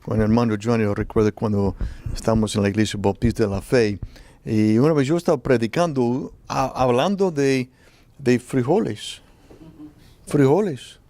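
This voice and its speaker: A man speaking on a church conference panel about preaching, with many pauses and restarts. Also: medium pitch, mellow. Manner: hesitant, frequent restarts. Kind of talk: panel discussion.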